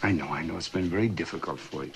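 Low, murmuring male lover. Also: fast, noisy background. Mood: soothing, intimate. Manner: soft, murmuring, intimate. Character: smooth, soothing male politician with his lover. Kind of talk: television crime drama acting.

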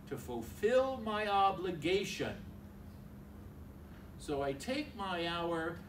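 A man's voice: on the high side, noisy background. An older man, a priest, giving a conversational homily at a lectern in a large church hall, filmed from a distance. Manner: conversational, acts out little dialogues. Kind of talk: sermon. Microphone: stand mic at a lectern, filmed from far.

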